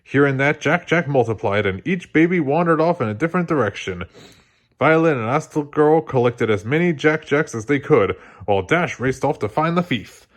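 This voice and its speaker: A man narrating a children's story aloud in an expressive read-along style. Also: fairly high pitch, moderate pace. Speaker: storybook reader.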